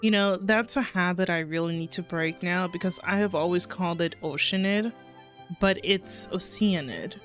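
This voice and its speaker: A woman's voice: fairly low pitch, moderate pace, faint music behind. A woman reacting casually to a video game as a streamer, giggly and given to swearing.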